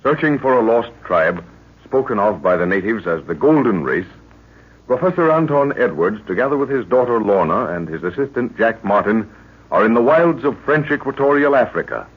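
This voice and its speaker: An actor narrating a jungle mystery serial in a dramatic, declamatory old-time radio style. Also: male voice, mid-range voice, big pitch swings, moderate pace, slightly rough. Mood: dramatic, suspenseful. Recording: tinny, narrow-band old radio recording.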